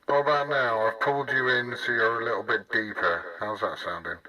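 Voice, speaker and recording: A man's voice: mid-range voice, steady tone, brisk. Man, distant on static-filled CB radio. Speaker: CB radio operator. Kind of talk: casual radio chat.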